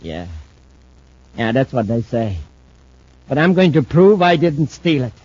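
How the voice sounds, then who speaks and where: An actor playing a weakened, obsessed spacer in a 1950s radio drama, brooding and intense. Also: male voice, mid-range voice, big pitch swings, a little slow, darkish tone, no room sound. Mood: feverish, driven. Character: thin, weakened, obsessed male spacer seeking his old partner. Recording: muffled old-time radio recording.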